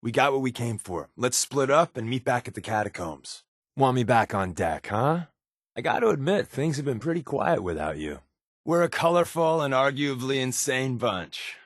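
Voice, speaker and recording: Glib, animated undercover agent, dry recording. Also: male voice, mid-range voice, moderate pace, dry recording. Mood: briefing, then friendly. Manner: glib, dry, joking delivery. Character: wisecracking, sardonic male undercover agent of an underground resistance. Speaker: video game companion character. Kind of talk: video game voice acting, conversational.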